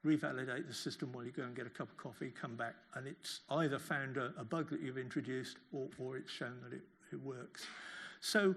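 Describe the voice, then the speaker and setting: Older man, gravelly higher-pitched voice. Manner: halting, repeats words while phrasing. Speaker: professor giving a lecture. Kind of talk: lecture question-and-answer, explaining off the cuff.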